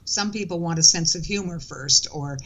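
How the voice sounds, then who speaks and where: A woman's voice: lowish voice, normal speed, a bit bright. A woman, talking casually and reflectively as an interview guest over a video call from home. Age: 70s. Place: living room at home. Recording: dull lo-fi video call audio.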